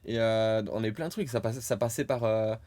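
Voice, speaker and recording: Low-voiced young man chatting casually. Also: a little fast, no echo. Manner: laughs loudly, many fillers. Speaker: podcast co-host. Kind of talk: casual chat.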